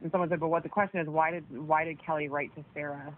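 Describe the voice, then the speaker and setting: A woman's voice: deep voice, fairly even intonation, quick pace. A woman chatting casually on a phone call, often swearing as she talks. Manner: frequent swearing. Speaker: caller on a jail phone call. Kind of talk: casual chat. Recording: noisy narrowband telephone line.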